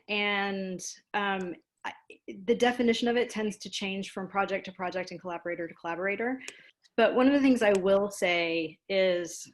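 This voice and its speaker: A woman giving casual interview answers on an online video call, explaining her work in an informal, self-deprecating way. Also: medium pitch. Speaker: guest, dramaturg and producer.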